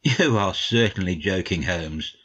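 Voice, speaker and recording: Modest gentleman storyteller , played by a man: deep, husky, measured and courteous, audiobook on slightly muffled audio. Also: lively intonation, full character voice. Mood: puzzled, polite. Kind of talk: dramatic audiobook reading, storytelling.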